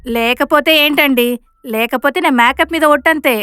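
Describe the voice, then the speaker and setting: Lady doing a vain rich wife in a cartoon, high voice, dramatic, dry recording. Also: moderate pace, sharp tone, no room sound. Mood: haughty. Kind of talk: animated moral story dub.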